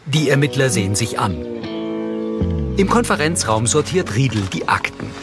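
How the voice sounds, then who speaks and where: A man's voice: medium pitch, very animated, normal speed, loud music behind the voice. A man reading scripted audio description narration in a neutral, matter-of-fact way. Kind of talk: scripted present-tense narration.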